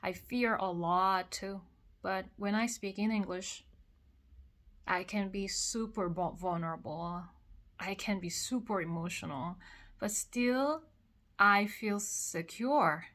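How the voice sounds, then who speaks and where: A woman from Korea with a Korean accent, speaking in English as a second language. She talks in a personal, emotional monologue after crying, halting and repeating phrases. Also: mid-range voice, a bit bright. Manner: slow, halting, repeats phrases, many pauses. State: has been crying. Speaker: vlogger.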